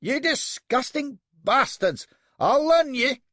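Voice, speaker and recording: A man voicing an eager, hot-tempered young naval officer who barks orders in a high, cracking voice. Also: normal speed, no room sound, heavily acted. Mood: furious. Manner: excitable, shrill, barking orders.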